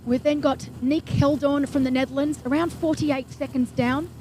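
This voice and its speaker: A woman giving live play-by-play commentary on a triathlon in a brisk, enthusiastic way, with friendly asides. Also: high voice, steady tone, dark tone, a little hiss or hum. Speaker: race commentator.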